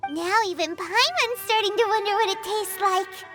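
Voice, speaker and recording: A woman's voice: very high voice, moderate pace. A woman voicing a small childlike fairy companion in a video game, cheerful and anxious, referring to herself in the third person. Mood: curious. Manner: speaks of self in third person. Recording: music playing behind the voice.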